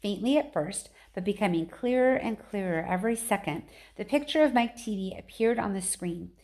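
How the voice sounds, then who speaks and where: A woman's voice: medium pitch, expressive, normal speed, darkish tone. A woman reading a children's book aloud like a teacher, expressively, with casual chatty asides.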